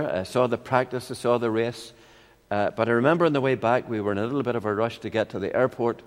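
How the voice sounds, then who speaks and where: An older man with a Northern Irish accent preaching a sermon in a measured, expository way, with rhetorical questions and personal anecdotes. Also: medium pitch. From Ireland. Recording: long hall reverb.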